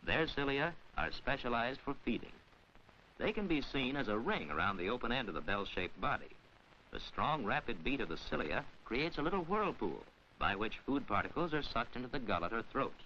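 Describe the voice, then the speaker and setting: A man narrates an old educational science film in a bright, forceful voice with lively, swinging intonation. His delivery is formal, measured and carefully enunciated, reading from a script. The recording is a dull vintage film soundtrack with faint hiss. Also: medium pitch.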